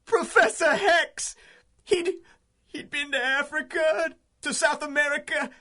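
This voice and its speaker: An actor playing a craven, defiant small-time crook in a 1930s-style radio drama, blustering and stammering. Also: male voice, very high voice, unhurried, pushed and bright, exaggerated voice. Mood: cowed, confessing.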